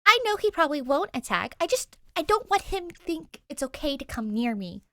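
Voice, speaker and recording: A woman's voice: sits high, very animated, fairly quick, sharp tone, dry recording, voice pushed up, exaggerated voice. A woman voicing a skittish, stubborn young girl in an audiobook, indignant and argumentative. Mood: stubborn, wary. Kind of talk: audiobook character voice, fan fiction reading.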